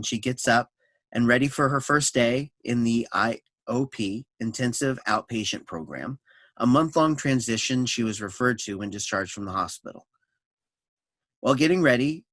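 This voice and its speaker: A man's voice: mid-range voice, fairly bright tone, no echo. A man reading scripted stage directions and scene summaries for a staged play reading.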